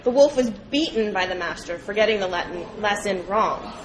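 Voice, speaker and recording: A woman's voice: medium pitch, expressive, normal speed, slight background noise, low-quality recording. A woman reading scripted animal fables aloud as a storyteller at a public program.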